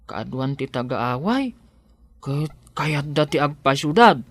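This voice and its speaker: A woman's voice: low-pitched, sing-song, slow, no room sound, heavily acted. A woman playing a simple-minded, kindly village handyman in a radio comedy drama, naive and deferential, with an Ilocano accent. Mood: deferential, curious.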